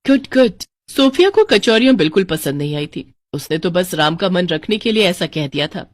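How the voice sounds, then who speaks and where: A woman's voice: on the low side, sing-song, a little fast, dry recording. Indian woman narrating a story, dry sound. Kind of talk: scripted Hindi moral-story narration.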